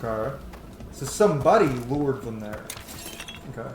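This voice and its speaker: Animated man gaming in a roomy, noisy space. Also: medium pitch, normal speed. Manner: excitable, swearing reactions. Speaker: let's play host, gamer. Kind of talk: casual gameplay commentary. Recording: roomy echo, clear noise behind. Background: game sounds.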